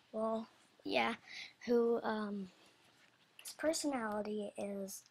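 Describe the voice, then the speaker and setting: A woman's voice: on the high side, darkish tone, slightly rough. A woman giving a casual, loosely scripted announcement about animation characters in a hesitant, rambling, apologetic way. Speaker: animator describing characters for voice auditions.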